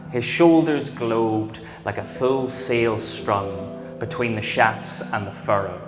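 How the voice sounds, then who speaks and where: A man reading poetry aloud as a measured, deliberate recital. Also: on the low side. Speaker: poetry reader. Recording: echoey hall, music behind voice.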